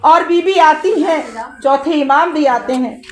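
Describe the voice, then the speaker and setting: A woman's voice: high-pitched, unhurried. A woman orator delivering a Shia religious sermon in a declamatory way, using rhetorical questions and repeated phrases. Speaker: majlis orator. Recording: live gathering with crowd noise. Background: congregation crowd.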